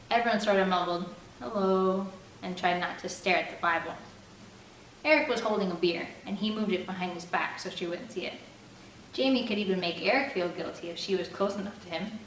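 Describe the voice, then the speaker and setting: A woman's voice: mid-range voice, a bit bright, far from the mic. A woman reading a novel aloud, giving the first-person narration plenty of expression. The mic sits back in an echoey room. A faint air-conditioner hum stays underneath throughout. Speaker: audiobook reader.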